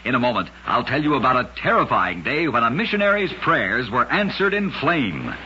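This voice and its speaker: Lively, bright-voiced male radio storyteller. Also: medium pitch, moderate pace. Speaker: radio show narrator. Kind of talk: scripted radio storytelling of curious facts. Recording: dull lo-fi radio recording, faint noise.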